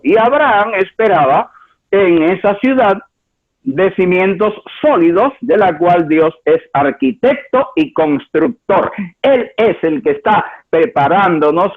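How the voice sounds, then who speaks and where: A man from Cuba delivers a sermon with a lively, swinging pitch, rising to high exclamations and dropping to low asides. He repeats words for emphasis as he preaches. The sound is thin and tinny, as if heard down a phone line. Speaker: pastor.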